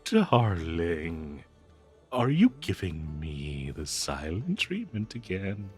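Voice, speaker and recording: A man's voice: low voice, wildly swooping pitch, a little mellow, breathy edge, faint music behind, full character voice. A man playing a cursed talking dagger, possessive, lovestruck and bloodthirsty, in a melodramatic, cooing audio roleplay addressed to the listener. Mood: cooing, sinister. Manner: melodramatic, cooing, slow drawn-out delivery with manic giggles.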